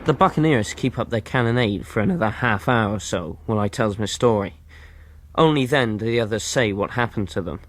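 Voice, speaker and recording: A man's voice: lowish voice, fairly quick, close to an everyday voice. An actor playing a man narrating his boyhood sea adventure in a radio drama. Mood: composed.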